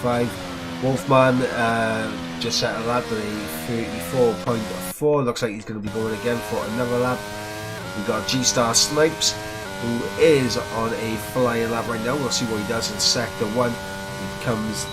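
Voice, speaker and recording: Man, race commentator, voice still weak after illness, restrained delivery, loud backing music. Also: medium pitch, rough recording. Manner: measured, holding back excitement to spare his voice. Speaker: sim racing commentator. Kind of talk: live play-by-play commentary.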